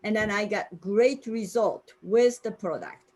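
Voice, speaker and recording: A woman's voice: mid-range voice, a little slow, darkish tone. A woman in her sixties with a Japanese accent, earnestly telling her personal story on a video call. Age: 60s. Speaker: guest speaker sharing her story.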